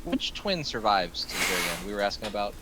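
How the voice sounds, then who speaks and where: Man, fairly low pitch, casual group talk, clearly noisy room. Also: sing-song, fast.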